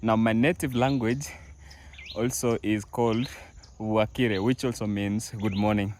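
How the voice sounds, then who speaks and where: A man's voice: fairly low pitch, steady tone, measured pace, a little mellow, a little hiss or hum. A young man vlogging from his family farm outdoors, talking casually to viewers with many fillers and restarts. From East Africa. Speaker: village vlogger. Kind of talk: casual walk-through vlog.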